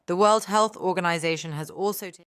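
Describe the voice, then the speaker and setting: A woman's voice: on the low side, quick pace. A woman reading scripted news narration as a reporter. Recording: faint background noise.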